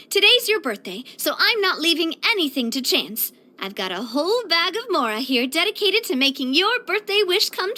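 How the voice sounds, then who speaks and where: A young woman voicing a cheerful, elegant young lady leader in a video game, earnest, chatty and expressive. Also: very high voice, exaggerated voice. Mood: cheerful, encouraging. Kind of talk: video game character voice lines.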